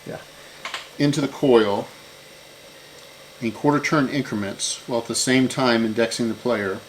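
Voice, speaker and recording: A man's voice: mid-range voice, steady tone, moderate pace, slight background noise, low-quality recording. A man giving a casual hands-on repair walkthrough, unscripted and thinking aloud as he works. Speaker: repair channel host.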